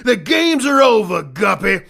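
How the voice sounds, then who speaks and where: A man voicing a menacing, taunting fish outlaw boss with a gravelly voice in a cartoonish western audio drama. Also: high voice, sing-song, a little slow, fairly bright tone, exaggerated voice. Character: sneering fish outlaw gang leader in a western.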